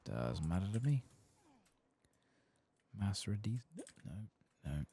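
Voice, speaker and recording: A man giving soft-spoken, partly whispered ASMR commentary on a game, murmuring and musing to himself close to the microphone. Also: low voice, sing-song, slow, creaky, slight background noise. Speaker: gaming channel host.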